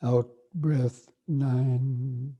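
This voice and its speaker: Slow, flat-voiced man guiding meditation. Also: medium pitch, lo-fi sound. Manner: slow, soft and even, with long pauses. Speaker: meditation teacher. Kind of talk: guided breathing meditation.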